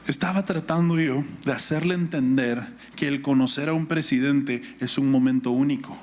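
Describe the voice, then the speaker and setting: A man's voice: mid-range voice, moderate pace, darkish tone. A middle-aged man with a Guatemalan Spanish accent, preaching a sermon in an instructive manner with rhetorical questions and repetition. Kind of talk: sermon with Bible reading and personal anecdote.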